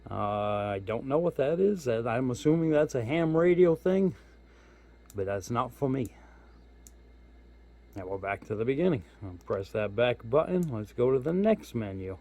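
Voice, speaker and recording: A man's voice: medium pitch, lively intonation, normal speed, darkish tone. A man giving a casual hands-on product walkthrough as a hobbyist reviewer, informal and thinking aloud as he goes. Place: indoors at home.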